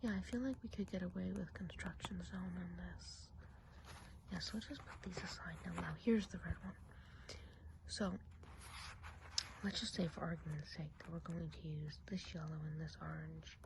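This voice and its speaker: A woman whispering softly and breathily in a chatty, confiding ASMR roleplay as a gossipy witch of the woods. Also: lowish voice, steady tone, vocal fry, background noise. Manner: confiding, unhurried, casual gossip. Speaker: ASMR performer.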